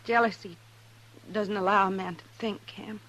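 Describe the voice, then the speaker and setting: An actress playing a resolute, long-suffering frontier wife in a 1950s radio western, earnest and pointed. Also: female voice, mid-range voice, steady tone, moderate pace, a bit bright, lightly acted. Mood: resolute, reproachful. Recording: noisy, humming old radio recording.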